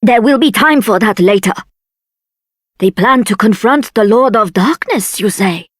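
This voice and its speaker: A woman's voice: mid-range voice, expressive, a little slow, no echo, studio quality. A woman playing a grave, solemn councillor of a dragon folk in a video game. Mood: grave, urgent.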